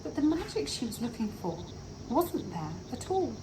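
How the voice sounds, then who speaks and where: A woman reading a children's story aloud expressively, with dramatic pauses and drawn-out words. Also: on the high side, big pitch swings, noisy background. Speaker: storybook reader for an English club.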